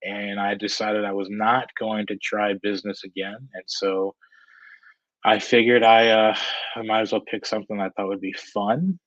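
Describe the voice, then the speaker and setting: A man in his thirties with an American accent and a lowish voice talks casually as an interview guest. He is hesitant and candid, thinking as he goes. The sound is close and dry but a little dull and lo-fi. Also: flat delivery, normal speed, a bit bright, no echo. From Georgia, United States. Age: 30s. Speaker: guest, freelance screenwriter and director.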